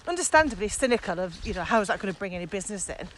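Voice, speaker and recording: Female podcast co-host, medium pitch, keen and emphatic, informal, recorded outside with slight ambient noise. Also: big pitch swings, rapid-fire, fairly bright tone, low-quality recording. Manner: enthusiastic, emphatic. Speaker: podcast co-host, virtual trainer. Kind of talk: casual chat. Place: outdoors, walking in the countryside.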